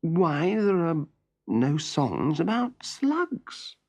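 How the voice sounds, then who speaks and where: A man's voice: on the high side, big pitch swings, drawn-out words, darkish tone, heavily acted. A man voicing a wistful, polite and slightly vain little male slug in a storybook, plaintive and earnest.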